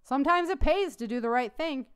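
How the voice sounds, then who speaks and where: A woman's voice: high voice, animated, fairly quick, voice pushed up. A woman voicing a friendly young male army corporal in a read-aloud story. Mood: elated. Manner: friendly, upbeat.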